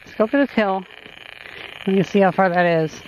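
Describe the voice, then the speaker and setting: Casting: casual gear-testing vlogger, musing and unscripted, mid-pitched, muffled outdoor sound with faint noise. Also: normal speed. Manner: musing, thinking aloud. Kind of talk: casual unscripted field commentary. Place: outdoors along a road. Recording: dull audio, faint background noise.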